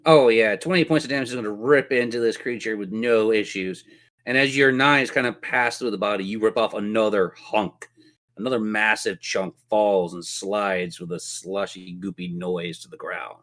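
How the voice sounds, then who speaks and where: A man's voice: lowish voice, fairly even intonation, moderate pace, fairly bright tone. Playful male game master with theatrical phrasing. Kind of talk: narrating a tabletop role-playing game.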